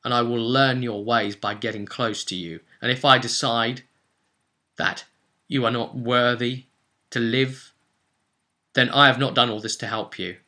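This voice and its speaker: A man voicing an embittered, vengeful male elf loner in a tabletop fantasy game, solemn and deliberate. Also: medium pitch, fairly even intonation, bright, forceful tone. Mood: brooding, threatening.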